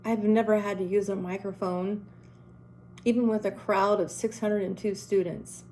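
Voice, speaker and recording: A woman's voice: medium pitch, little pitch movement, normal speed, mellow, a little hiss or hum. A middle-aged woman who runs a school, with a strong, carrying voice, giving earnest, direct advice to parents and teachers. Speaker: school principal.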